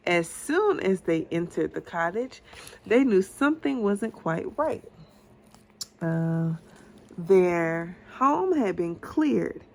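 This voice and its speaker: A mother reading a storybook aloud to her child in a patient, deliberate, encouraging way. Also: lowish voice, a little hiss or hum.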